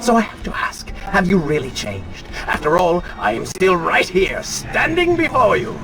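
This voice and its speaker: An actor playing an arrogant, taunting dark spirit, a prince's wicked other self, with mocking, theatrical delivery in a video game. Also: male voice, high-pitched, wildly swooping pitch, normal speed, creaky, background noise, heavily acted. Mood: gloating, taunting. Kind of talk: dramatic video game acting. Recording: loud music under the voice.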